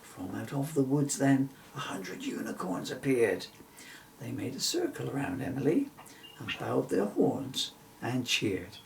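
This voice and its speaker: A middle-aged man reading a children's story-poem aloud in an expressive, theatrical way. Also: medium pitch, unhurried, creaky, recorded from a distance, slight background noise. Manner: animated, theatrical. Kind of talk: expressive rhyming storybook narration.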